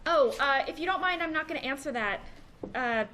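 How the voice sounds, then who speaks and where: Shy earnest wife in a sitcom read, high voice, kinda halting, bit of background noise. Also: female voice, animated, bright, forceful tone. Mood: guarded. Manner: earnest, halting, self-conscious. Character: shy, earnest, private woman, devoted wife. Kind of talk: naturalistic comedy acting at a table read. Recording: live stage, faint background noise.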